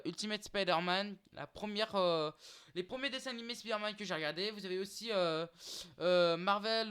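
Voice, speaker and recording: A young man with a high, bright voice chats loosely to camera, stumbling through restarts and filler sounds as he goes. His tone is clean and smooth, and he keeps turning to his viewers as "guys." The talk is unscripted. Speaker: YouTuber listing streaming titles. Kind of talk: casual unscripted vlog talk.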